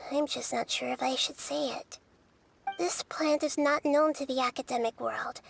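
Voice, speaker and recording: A woman voicing a small, wise girl god who speaks in a careful, thoughtful and measured way, in game dialogue. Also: high voice, gravelly, a little hiss or hum.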